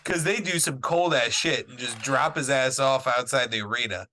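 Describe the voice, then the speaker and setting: A man casually discussing a film with a friend, laughing often and pausing on fillers. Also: mid-range voice, sharp tone. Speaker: podcast co-host.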